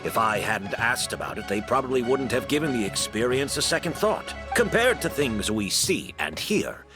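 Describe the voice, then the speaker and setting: A man's voice: medium pitch, big pitch swings, normal speed, over music, lightly acted. A man playing a modest, gracious older master perfumer and mentor in a video game. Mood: explaining. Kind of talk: video game character acting.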